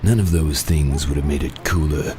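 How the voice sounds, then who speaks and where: A man doing a deadpan noir private eye. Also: a bass voice, normal speed, background noise. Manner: deadpan, wry, punning. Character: hard-boiled down-on-his-luck male private eye. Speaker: narrator. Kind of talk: parody noir radio serial narration.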